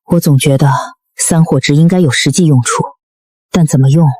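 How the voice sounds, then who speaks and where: A wise elderly woman who leads with cautious authority in an animated drama, as an actress voices her. Her voice is very low, and she reasons aloud in a measured, analytical way. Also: slightly rough, no room sound, clean studio sound. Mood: troubled. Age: older. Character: wise, cautious old woman leading the research. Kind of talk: animated web drama dialogue.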